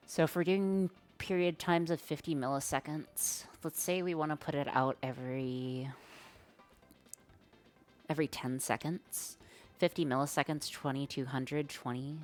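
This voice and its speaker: A woman with a strikingly deep voice for her sex, talking her way through code on a live stream. She muses aloud, drops slang and fillers, and chats loosely with her audience. Faint music plays behind her. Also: normal speed. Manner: thinks aloud while coding, slangy and chatty. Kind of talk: live-stream coding chat.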